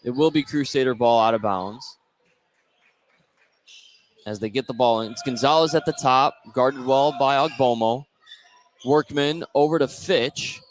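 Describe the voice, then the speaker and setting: A male play-by-play announcer calls a live basketball game for radio. His voice sits at an average pitch, with a steady layer of gymnasium noise behind it. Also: unhurried, pauses often. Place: indoor school basketball gym. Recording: noisy courtside gym broadcast. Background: clear gym noise.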